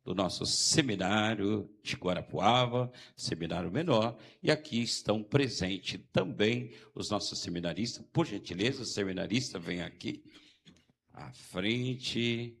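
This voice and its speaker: Brazilian priest, low and unhurried, leads novena welcomes. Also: male voice, gravelly, fairly bright tone, breathy edge. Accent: Brazilian Portuguese. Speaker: parish priest.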